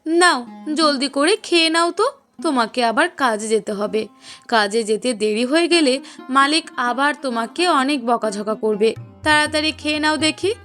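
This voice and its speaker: A woman's voice: sits high, normal speed, a bit bright. A woman playing a loving, caring young wife in a cartoon, speaking in a sweet, coaxing, emotive way. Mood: fussing. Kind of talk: cartoon dialogue acting. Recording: voice over prominent music bed. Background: music.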